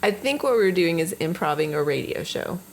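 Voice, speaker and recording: A woman's voice: fairly low pitch, animated, a little fast, vocal fry. A woman co-hosting a podcast, chatting casually in a wry, joking way. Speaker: podcast co-host. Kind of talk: casual chat.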